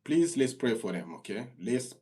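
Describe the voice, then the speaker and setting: Husky man advising on immigration. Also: big pitch swings, normal speed. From Congo. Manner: earnest, repetitive, gets loud and high-pitched when worked up. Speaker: immigration lawyer hosting a live stream. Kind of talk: live stream Q&A with callers.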